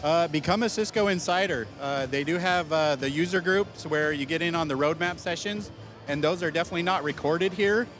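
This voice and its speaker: A man's voice: fairly high pitch, a little fast, a bit bright, faint music behind. Laid-back man from Wyoming. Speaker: network admin, guest.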